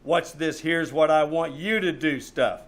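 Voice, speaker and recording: A man with an American accent playing a wry, exasperated private detective in a live old-time radio drama, with deadpan, hesitant delivery. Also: on the high side, unhurried, close to an everyday voice. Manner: deadpan, hesitant wisecracks and dry first-person narration. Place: small theater stage, live performance.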